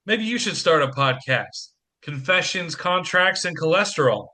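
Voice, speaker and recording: An actor playing a harried single dad in an audio play, joking and self-mocking in a theatrical manner. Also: male voice, on the high side, expressive, moderate pace, pushed and bright. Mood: wry banter.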